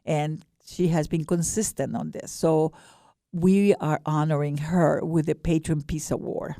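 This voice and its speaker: A mature woman with an Ecuadorian Spanish accent, speaking earnestly and haltingly as a guest in a radio interview. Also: sits low, fairly even intonation, vocal fry, dark tone, no room sound, clean studio sound. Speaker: guest, board director of a peace nonprofit.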